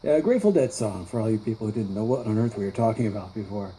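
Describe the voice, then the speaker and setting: Male radio interviewer, deeply mellow and dark tone, casual and easygoing, faint room ambience behind. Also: expressive, fast, slight background noise, rough recording. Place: radio broadcast session.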